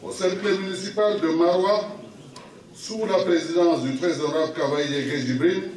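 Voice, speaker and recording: High-voiced Cameroonian man in an echoey hall. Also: steady tone, moderate pace, darkish tone, a little hiss or hum. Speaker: official reading out resolutions. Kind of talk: formal read-aloud public declaration. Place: municipal hall at a public meeting. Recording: echoey hall, distant microphone.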